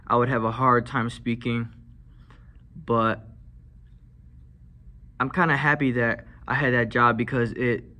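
Young guy who stutters, talks real slow, bright voice, small mic up close in a classroom. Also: mid-range voice, level tone. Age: young adult. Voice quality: stutters, with blocks and repeated sounds. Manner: soft-spoken, frequent fillers. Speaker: vlogger. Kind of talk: casual personal vlog. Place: indoor classroom. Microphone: handheld lavalier mic held near mouth.

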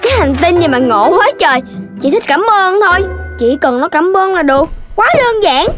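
A very high little voice belongs to this cheeky cartoon puppy boy. He is eager and exclamatory, with lively swings in pitch. Music plays behind him. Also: brisk. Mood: gleeful, smug. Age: child character. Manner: exclamatory, eager, childlike questioning. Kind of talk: animated cartoon dubbing.

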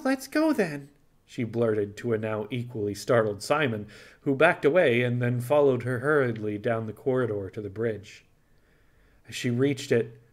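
A man narrates fiction in audiobook style. His pitch is average for a man. He reads scripted prose as a storyteller.

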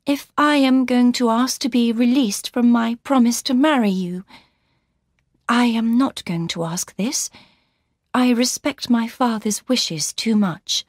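A gentle, earnest young heiress, put on by a woman reading a storybook, speaks high above the narrator's own voice. Her pleas come out intent and sincere. The sound is dry and close, with no room around it. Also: measured pace, no echo. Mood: calm.

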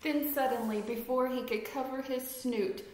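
A woman in her thirties reading a children's picture book aloud expressively, deliberately stressing rhymes and putting questions to young listeners. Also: sits high, background noise. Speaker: read-aloud storyteller. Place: indoor room at home with fireplace mantel. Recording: echoey room.

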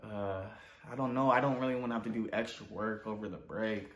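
A man's voice: lowish voice, fairly quick. A young man with an American accent playing an awkward student in a casual comedy roleplay, slangy and hesitant. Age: young adult. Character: naive, awkward male student. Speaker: host of a parody channel. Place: indoors in a living room. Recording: roomy, echoey indoor sound.

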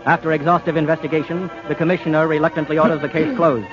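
A man's voice: fairly high pitch, level tone, quick pace. A man giving dramatic scripted narration in 1940s radio style. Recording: dull old radio, loud music behind.

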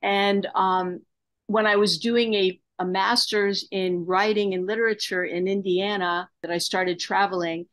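A woman's voice: mid-range voice, steady tone, measured pace, a bit bright. An older woman talking about herself in an interview over a video call. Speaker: journalist interviewee. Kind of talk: interview talk about career. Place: home office, video call. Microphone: computer on video call.

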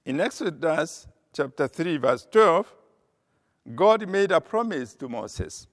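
An older man preaching a sermon from a church pulpit, slow and measured, pausing with frequent "uh" as he cites scripture. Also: fairly high pitch, big pitch swings. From Kenya. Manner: slow, measured delivery with frequent uh pauses, reading scripture aloud. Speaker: pastor. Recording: long reverb of a church hall.

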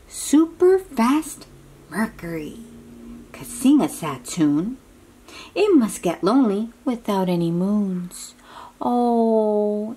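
A woman reading a picture book aloud to young children, expressive and full of exclamations, drawing out words and dropping into hushed asides as she asks simple questions. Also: mid-range voice, breathy edge. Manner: talks directly to young listeners with simple questions. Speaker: children's read-aloud host.

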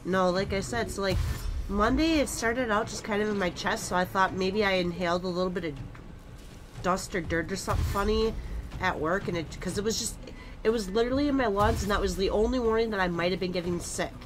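A woman's voice: medium pitch, brisk, background noise. A woman streaming a game, chatting casually and rambling about having a cold, on a headset at home. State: has a cold, coughing. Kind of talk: casual gaming chat.

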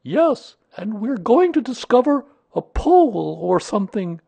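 High-pitched, eager little storybook bear. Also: male voice, big pitch swings, unhurried, gravelly, warm tone, slightly rough, dry recording, exaggerated voice. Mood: cheerful. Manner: eager, simple, bouncy delivery. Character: naive, eager, kindly male toy bear. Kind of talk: children's storybook character reading.

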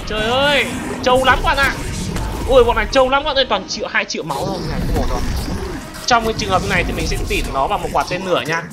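A gaming video host giving excited gameplay commentary, exclaiming often and addressing viewers like friends. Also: mid-range voice, racing. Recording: music behind the voice. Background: background music.

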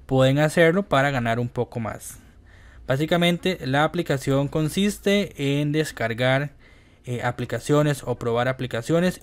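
A man hosting a casual YouTube app tutorial, explaining things step by step in a halting way. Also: medium pitch, smooth. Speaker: YouTube host. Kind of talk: casual app tutorial narration over screen recording.

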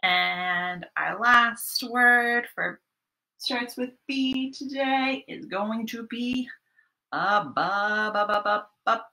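A woman in her thirties hosting storytime, reading a picture book to young children in an expressive, teacherly way. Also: a little high, gravelly. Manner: expressive, deliberate teacherly delivery. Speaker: children's library storytime host. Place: small home room, indoors.

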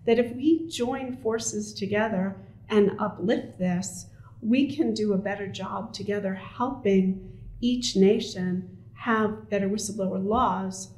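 Measured American woman in a roomy studio. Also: mid-range voice, darkish tone. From United States. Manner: measured, persuasive. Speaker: guest, whistleblower advocate. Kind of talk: conversational interview. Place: small studio room. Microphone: studio desk mic.

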